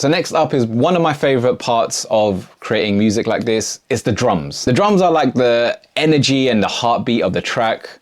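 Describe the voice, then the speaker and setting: Male tutorial host, lower register, casual and slangy, keen music producer talking viewers through his process. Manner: enthusiastic, slangy. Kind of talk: casual video tutorial narration.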